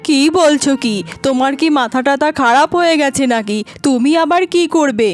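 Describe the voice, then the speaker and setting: A woman's voice: sits high, normal speed, dry recording, lightly acted. A woman playing an anxious, scolding older mother-in-law in a melodramatic cartoon, with dramatic, lamenting delivery. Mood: alarmed, scolding. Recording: music behind the voice.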